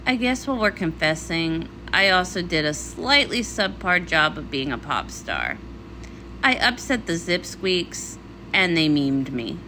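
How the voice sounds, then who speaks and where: A woman's voice: fairly low pitch, big pitch swings, measured pace, bright, forceful tone, a little hiss or hum, voice pushed down, exaggerated voice, rough recording. A woman voicing a young female pony pop star, dramatic, defensive and a little whiny, in a comic read-along. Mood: sheepish.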